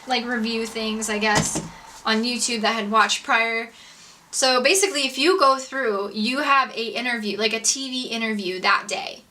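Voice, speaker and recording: Bright, higher-pitched young woman vlogging casually. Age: 20s. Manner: informal, self-deprecating, peppered with "like" and slang. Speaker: YouTube vlogger. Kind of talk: casual storytime chat to camera. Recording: distant mic with faint background noise.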